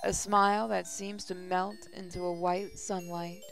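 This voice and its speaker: A woman's voice: on the low side, little pitch movement, measured pace, vocal fry, a bit bright. A woman hosting a gaming channel, reading visual novel text aloud in a casual way. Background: music.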